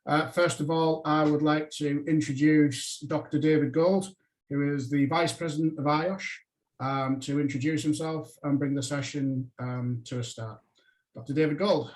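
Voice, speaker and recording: A man from a local branch committee opening a webinar with a formal, polite welcome and a few pauses, over a video call. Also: fairly high pitch, steady tone, reverberant.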